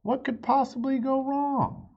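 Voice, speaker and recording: An eager, easily puzzled storybook girl played by a man, pitched well up and husky. The delivery is polite and full of wonder, with a slightly lo-fi sound. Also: fairly even intonation, unhurried, heavily acted.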